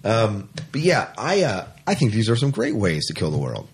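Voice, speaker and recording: Male podcast host, deep and slightly rough voice, chatty and keen, casual geek-show banter. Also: wildly swooping pitch, normal speed. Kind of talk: casual sci-fi podcast chat.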